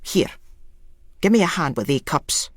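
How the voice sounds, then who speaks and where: A woman voicing a sharp-tongued, zealous Scottish wife in a dramatised story, exclaiming in dialect. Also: sits low, expressive, measured pace, no room sound, clean studio sound. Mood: scornful, threatening. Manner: scolding, exclamatory, dialect speech.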